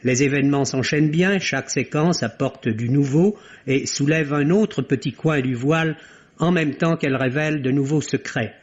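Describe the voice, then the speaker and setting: High-pitched male radio film critic, dull recording. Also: normal speed. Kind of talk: scripted radio film review.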